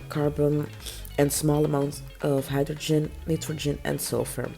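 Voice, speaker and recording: A woman with a naturally low voice, now sick and even lower, chatting through a casual hair-care how-to with many ums and likes. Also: vocal fry.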